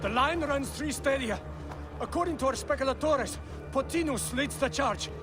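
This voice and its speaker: A man's voice: extremely high pitch, normal speed, over loud music. A man playing an urgent soldier giving battlefield reports in a video game. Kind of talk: dramatic video game acting.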